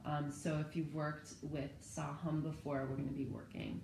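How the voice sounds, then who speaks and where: A woman in her thirties with a low, slightly hoarse voice gently leads a home yoga session. She cues each inhale and exhale calmly and unhurriedly. The camera sits on the floor of an echoey living room, with a faint hiss behind her. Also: moderate pace. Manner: calm, gentle cueing with breath. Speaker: yoga and meditation teacher. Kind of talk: guided yoga instruction.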